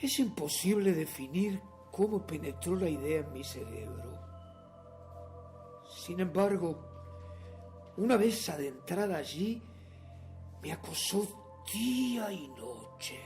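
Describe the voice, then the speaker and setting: An actor playing a nervous, obsessive madman who insists he is sane, in a theatrical, halting radio drama monologue that swings between whispers and outbursts. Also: sits high, lively intonation, darkish tone, faint music behind. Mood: brooding, obsessive.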